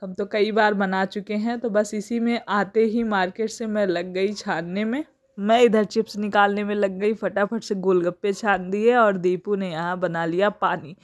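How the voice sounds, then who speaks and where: A woman's voice: medium pitch, fairly even intonation, normal speed. Chatty woman vlogger with a sore throat. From India. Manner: chatty, homely, laughs often. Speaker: family vlogger. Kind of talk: casual vlog chat. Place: home and market.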